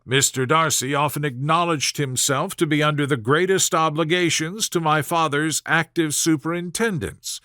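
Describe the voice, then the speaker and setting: A man voicing an aggrieved young Regency gentleman in a storybook, smooth-talking and formal, with measured, wounded dignity. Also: a little high, fairly even intonation, no room sound, studio quality. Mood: confiding, resentful. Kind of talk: storybook character voice in audiobook reading.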